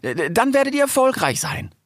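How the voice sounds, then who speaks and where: A man's voice: very high voice, big pitch swings, fairly quick, no room sound, clean studio sound, pitched above the performer's own voice. A man voicing a timid, clever male grey fox who stammers and pleads politely in a storybook reading. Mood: nervous, persuasive.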